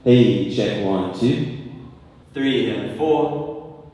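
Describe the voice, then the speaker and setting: Slow man sound-checking in a very echoey hall. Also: medium pitch, dark tone. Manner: slow, spaced-out test calls. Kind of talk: microphone sound check, short counted words. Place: large reverberant event venue. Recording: very echoey distant hall, faint noise.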